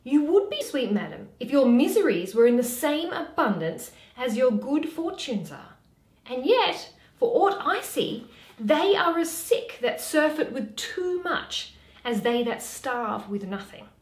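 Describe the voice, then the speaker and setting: A woman acts out a loyal waiting-maid from classical theatre, sensible and gently advising. The voice is high and lilting, with slow, measured phrasing in an echoey room. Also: big pitch swings. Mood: reasoning. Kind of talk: theatrical Shakespeare reading.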